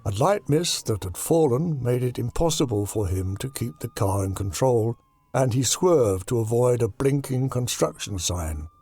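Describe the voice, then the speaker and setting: A man narrating a horror audiobook in a deliberate, dramatic storytelling manner. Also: medium pitch, expressive, mellow, dry recording.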